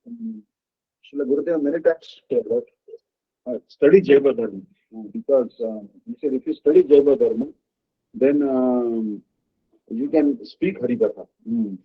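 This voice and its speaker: A man giving a devotional discourse as a spiritual teacher, halting often and checking in with the listeners. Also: medium pitch, lively intonation, husky. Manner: halting, with frequent "uh" and questioning "huh?". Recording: dull lo-fi recording.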